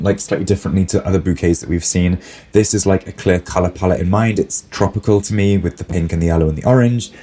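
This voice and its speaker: A man's voice: low voice, dark tone, husky. A man, a florist, musing in a casual reaction video, a little snuffly with a cold and hedging his opinions. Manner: musing, hedging, lots of "like" and "I think".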